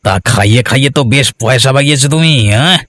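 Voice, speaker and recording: Man doing a fast-talking scheming servant. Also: mid-range voice, a crowd in the background, natural voice. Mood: menacing, mocking. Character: scheming greedy servant man, fawning and bullying. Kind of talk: cartoon voice acting.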